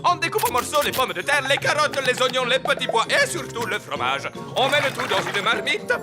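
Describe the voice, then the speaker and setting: A pompous cartoon chef in French. His voice is extremely high for a man, with animated swings and a precise teaching manner, over clear music. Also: fairly quick. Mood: proud, instructive. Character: proud, refined chef explaining recipes, pompous.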